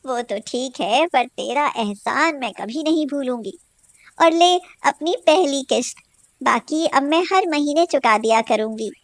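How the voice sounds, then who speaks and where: A woman's voice: high voice, expressive, measured pace, no echo, clean studio sound. A woman playing a poor, weary but proud mother of five in a cartoon story, with earnest, emotional delivery. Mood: grateful.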